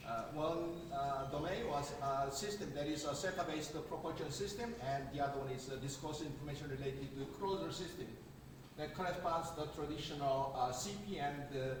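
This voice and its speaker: Korean-accented male conference speaker, slightly high voice, stop-start delivery, captured from a distance in a reverberant hall. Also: background noise. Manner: halting, many filler sounds and restarts. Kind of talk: academic conference talk. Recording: echoey hall recorded from far off.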